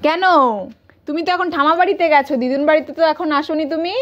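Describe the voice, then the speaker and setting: A woman's voice: high voice, fairly even intonation, fast talker, highs cut off, noisy background. A woman talks casually, as if filming a home vlog. She is playful and indulgent, addressing a small child and viewers and repeating little phrases back. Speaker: family vlogger filming a child at play.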